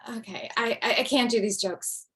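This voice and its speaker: A woman's voice: fairly high pitch, moderate pace, close to an everyday voice. A woman playing a self-deprecating mother returning to stand-up, delivering wry and hesitant lines in a table read. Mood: flustered. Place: video call from home.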